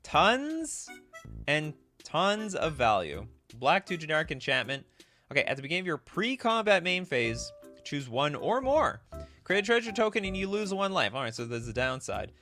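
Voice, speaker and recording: A man hosting a casual live stream, reading aloud and thinking out loud with frequent exclamations. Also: fairly high pitch, sing-song, moderate pace, soft background music. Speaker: host of a card game live stream.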